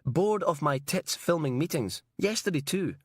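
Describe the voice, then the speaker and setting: A man's voice: on the high side, expressive, normal speed, husky, no room sound, clean studio sound, voice pushed up. A man doing a whiny young cameraman. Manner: whiny, complaining.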